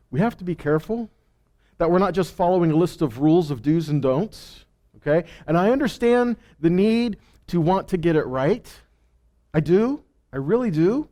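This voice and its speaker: A man with a high-pitched, very lively voice teaches scripture in an informal sermon. He speaks emphatically, swinging widely in pitch, and often puts short rhetorical questions to his listeners. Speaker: preacher and Torah teacher.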